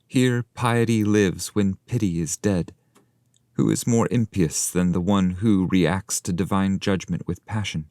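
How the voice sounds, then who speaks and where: A man's voice: low-pitched, fairly even intonation, measured pace, dark tone, dry recording, clean studio sound, voice pushed up. A man voicing a stern ancient poet guide who scolds his pupil in a reproachful, declamatory way during a literary reading. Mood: stern, scolding.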